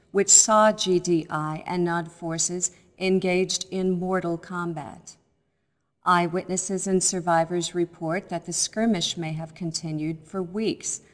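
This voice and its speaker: A young woman playing a composed, serious news anchor in a game cutscene, delivering a formal, measured, precise scripted newscast. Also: on the low side, in a large hall, natural voice.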